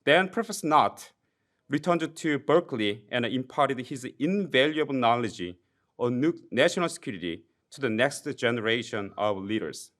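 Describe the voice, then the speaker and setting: Young Korean-accented man introducing a professor from the podium, measured and formal with light self-deprecation. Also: mid-range voice, expressive, a bit bright. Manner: reads a formal tribute with occasional self-deprecating jokes, some restarts. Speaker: PhD graduate introducing a speaker. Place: university lecture hall. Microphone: podium microphone.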